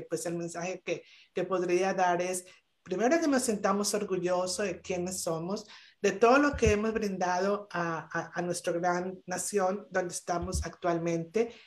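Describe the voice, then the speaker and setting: A woman speaking as a guest on a podcast panel over a video call, in an earnest, emphatic way with many hesitations. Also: lowish voice. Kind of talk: motivational talk on a podcast panel.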